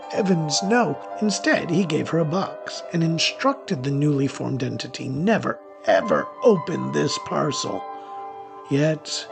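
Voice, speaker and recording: Man playing Mortimer, a theatrical creaky-voiced warlock, slow and wry. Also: fairly high pitch. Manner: theatrical, wry, literate storytelling. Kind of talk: first-person audio drama narration.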